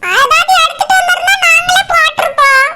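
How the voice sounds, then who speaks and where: A woman playing an indignant, cheeky female house guest in a comic cartoon, whining and complaining in Kanyakumari Tamil through a pitched-up voice. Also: a little fast, exaggerated voice. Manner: whiny, complaining. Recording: loud background noise, clicks.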